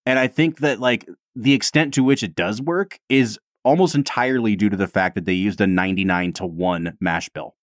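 A man's voice: fairly low pitch, normal speed, hardly any pauses, no room sound, studio quality. A man co-hosting a podcast in casual chat, talkative and self-deprecating, often breaking into laughs. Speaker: podcast co-host.

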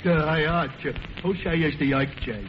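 An actor playing a dim-witted, earnest barfly in a 1940s radio comedy, halting and goofy. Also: male voice, fairly high pitch, steady tone, close to an everyday voice. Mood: confused, polite. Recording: muffled, very noisy old radio.

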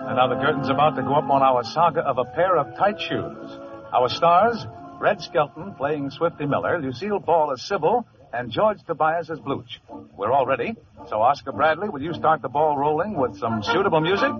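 Male vintage radio theater host, fairly high voice, scripted introductions, muffled broadcast with music. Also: animated, normal speed.

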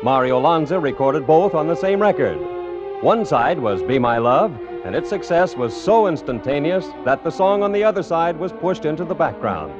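A male radio announcer in a fifties broadcast style, reading polished scripted copy. His pitch moves freely over a middle register, in a dull old recording with some music behind it. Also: lively intonation, normal speed. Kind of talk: 1950s radio announcing, scripted ad and song introductions.

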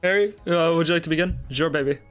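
Male stream host, pitched slightly high, casual and conversational delivery. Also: rapid-fire, faint music behind. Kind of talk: casual chat.

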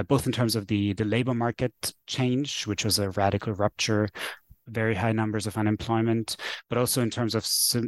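Austrian guy, german native, kinda low voice, lots of ums and restarts, dry mic. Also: no echo. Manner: thoughtful, many fillers and restarts. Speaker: guest author, sociologist. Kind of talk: podcast interview answers.

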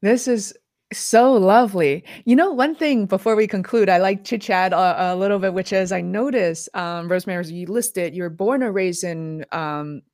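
A woman hosts a livestream interview on a video call, speaking in a chatty, enthusiastic way full of fillers. Her mid-pitched voice comes through dry and close, with no room sound. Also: moderate pace, darkish tone, dry recording. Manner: enthusiastic, chatty, many fillers like "like" and "you know". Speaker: livestream host. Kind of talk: casual interview.

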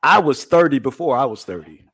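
A man's voice: medium pitch, expressive, fairly quick, a bit bright, breathy edge, no room sound, studio quality. A middle-aged man co-hosting a talk show, speaking emphatically in a casual preaching style and often repeating words. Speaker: podcast co-host.

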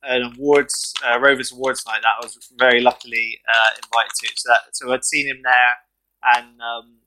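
A man co-hosts a podcast in casual chat, joking and telling lively anecdotes. His voice is bright and forward at a middle pitch. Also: normal speed, slight background noise, low-quality recording. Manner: jokey, animated storyteller. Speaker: co-host, mental health support worker.

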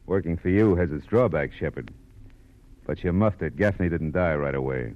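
An actor playing a tough, shrewd private detective in a classic radio drama, with a wry, clipped, slangy delivery. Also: male voice, sits low, normal speed, lightly acted. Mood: sardonic. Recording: muffled noisy old radio recording.

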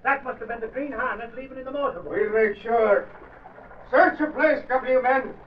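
A distant, echoey vintage radio recording of a police sergeant. His voice is very high and urgent as he barks out orders. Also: a little fast, lo-fi sound, slight background noise. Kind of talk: 1940s radio acting.

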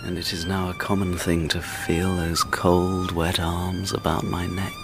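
A man plays a haunted, dissolute painter in a radio play, theatrical and grandiose. His pitch leaps and dips in a lively way as he swings between bravado and desperation. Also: moderate pace, loud music behind the voice. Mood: despairing. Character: haunted, vain, dissolute painter, growing frantic.

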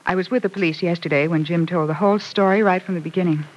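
A woman's voice: fairly low pitch, steady tone, fast talker, natural voice, poor audio. An actress as a remorseful young wife in a vintage radio drama. She sounds fretful and ashamed, pleading and hesitating on an old broadcast recording. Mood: ashamed, subdued.

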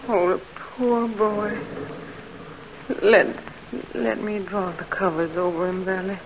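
Gentle sad southern mother, slow creaky voice, noisy old radio recording. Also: medium pitch, fairly even intonation. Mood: sorrowful. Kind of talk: old-time radio drama acting.

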